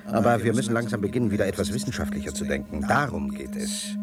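An actor playing an older, learned and bitter space-voyaging scientist in a science-fiction radio play, lecturing and sardonic. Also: male voice, lowish voice, lively intonation, fast talker, loud music behind the voice. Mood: irritated, lecturing.

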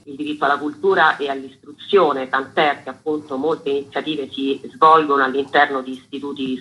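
A man's voice: on the high side, highs cut off. A man explaining things formally as a guest in a radio interview, listing items in orderly fashion. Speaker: festival organiser, interview guest.

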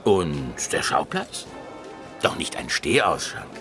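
An actor playing a domineering, capricious film producer in a radio drama, commanding, abrupt and theatrical. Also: male voice, rumbling low, sing-song, slow, exaggerated voice. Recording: studio radio play over music.

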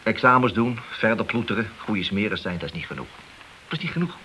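A man's voice: fairly low pitch, big pitch swings, fast, pushed and bright, low-quality recording. An actor playing an ambitious, glory-seeking policeman in a radio play, insistent and persuasive. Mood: scheming, confident. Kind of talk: radio play dialogue acting. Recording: old radio recording with hiss.

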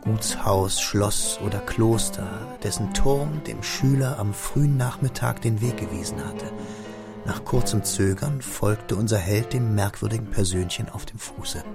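Low-pitched male storyteller over music. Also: unhurried, vocal fry. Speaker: narrator. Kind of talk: scripted literary narration for radio drama. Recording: studio radio drama with music behind.

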